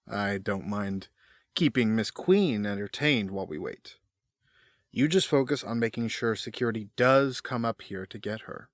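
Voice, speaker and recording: A man's voice: fairly low pitch, lively intonation, measured pace, no echo, clean studio sound. A man playing a smug, powerful middle-aged villain in an audio drama, suave, formal and condescending. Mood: smooth, amused.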